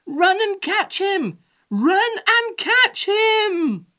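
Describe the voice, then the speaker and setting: A woman's voice: very high voice, very animated, extremely slow, sharp tone, pitched above the performer's own voice, exaggerated voice. A woman voicing an alarmed old woman in a storybook, crying out urgently. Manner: urgent, exclaiming.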